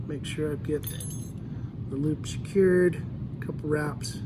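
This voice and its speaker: A man from Idaho, relaxed and easygoing with frequent ums, giving a step-by-step fly tying tutorial at a shop workbench. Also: a little high, measured pace, background noise. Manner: easygoing, talks while working, frequent ums.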